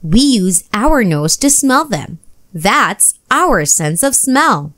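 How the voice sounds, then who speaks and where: A woman's voice: medium pitch, sing-song, takes it slowly, a bit bright, dry recording, studio quality. A woman voicing an encouraging female schoolteacher in a storybook, explaining things in an instructive manner.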